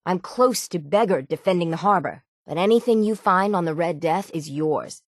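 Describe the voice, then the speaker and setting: An actress playing a weathered, determined harbor seafarer in a video game, gruff and dutiful, with salty, old-fashioned folksy phrasing. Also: female voice, lowish voice, moderate pace, dry recording, clean studio sound, close to an everyday voice. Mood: businesslike. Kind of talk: video game character dialogue.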